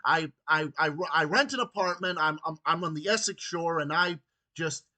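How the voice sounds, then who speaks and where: A man's voice: fairly high pitch, normal speed, sharp tone. A man in his late twenties talking politics in an emphatic, repetitive way, stammering over his words. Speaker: political ranting show host. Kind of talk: political phone conversation.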